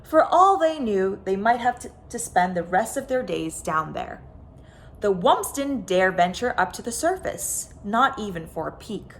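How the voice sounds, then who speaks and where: A woman reading a children's picture book aloud, expressive and dramatic, stretching words for emphasis. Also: medium pitch, a little slow, slight background noise. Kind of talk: animated children's story reading.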